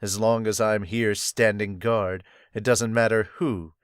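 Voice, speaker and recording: A man voicing a cold, menacing giant demon king from a manga, speaking low and threatening. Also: steady tone, measured pace, clean tone, no echo, studio quality, lightly acted.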